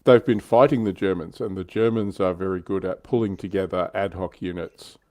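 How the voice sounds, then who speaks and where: A man's voice: deep voice, fairly even intonation, a little fast, dark tone, no room sound. A man co-hosting a casual livestream about tabletop wargaming, explaining things in a conversational way. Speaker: livestream co-host.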